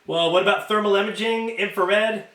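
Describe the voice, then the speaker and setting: A man's voice: high voice, fairly even intonation, moderate pace, pitched above the performer's own voice. A man voicing an irritable fairy police commander in a storybook, curt and commanding as he barks orders. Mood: urgent. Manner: curt, commanding, shouts into comms. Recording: roomy, echoing home recording.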